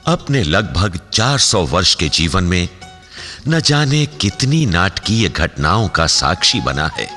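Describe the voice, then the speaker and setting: A man's voice: low-pitched, sharp tone, big-room sound, full character voice. A man playing a grave, poetic narrator in a historical radio drama, with a solemn, theatrical delivery.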